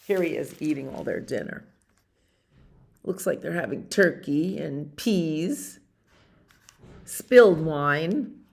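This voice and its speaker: Slow-paced older woman reading a picture book. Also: lowish voice, vocal fry. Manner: expressive, explains pictures, asks listeners questions. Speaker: library storyteller reading picture books to children. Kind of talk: read-aloud storytime with chatty asides. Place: library activity room, indoors.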